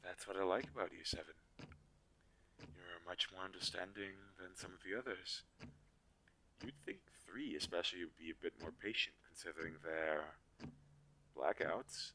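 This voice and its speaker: A man acts out a weary inventor-mage in an audio roleplay. His voice sits low, with a bright, forceful edge. He speaks earnestly and protectively, pausing haltingly, over faint background noise on a low-quality recording. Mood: gentle, resolute. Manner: hesitant, earnest, halting pauses. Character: weary, protective male inventor-mage, creator of living dolls. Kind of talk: scripted audio roleplay drama.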